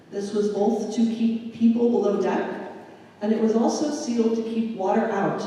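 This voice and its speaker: A woman giving a public history lecture, telling a migrant's story in a measured, unhurried way. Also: medium pitch, dark tone, a little hiss or hum. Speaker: history presenter. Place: lecture hall. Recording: echoey hall from far off.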